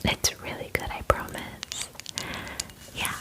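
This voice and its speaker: A woman voicing a bubbly, friendly female dragon in an ASMR fantasy roleplay, chatting in a soft, breathy, girly whisper with casual filler words. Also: slow, close to an everyday voice. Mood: caring, reassuring.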